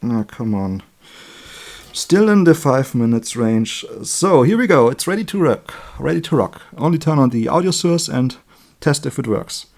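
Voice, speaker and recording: A man with a German accent, a native German speaker, giving an informal hands-on electronics tutorial in a chatty, halting way, correcting himself as he works. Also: mid-range voice, expressive, gravelly, darkish tone. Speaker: electronics hobbyist demonstrating a circuit build. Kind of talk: informal hands-on tutorial, explaining while working.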